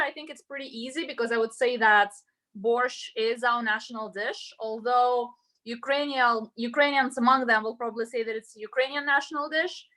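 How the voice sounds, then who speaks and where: Home cook, woman with Russian accent, fairly high-pitched and bright, chatty and explanatory, casual demo delivery. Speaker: home cook leading an online cooking class. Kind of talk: casual cooking demonstration. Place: indoors at home, cooking. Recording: roomy, echoey home sound.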